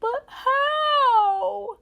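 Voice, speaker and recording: A woman voicing an excitable, dramatic little boy in a picture book, easily distressed and given to gasping, wailing exaggeration. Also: very high voice, extremely slow, vocal fry, voice pushed up, exaggerated voice. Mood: anguished despair.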